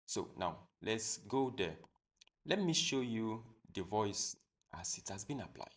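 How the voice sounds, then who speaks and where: Low, gravelly male tutorial host. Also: expressive, unhurried, a bit bright. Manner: step-by-step, repetitive explaining. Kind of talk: screen-recorded software tutorial.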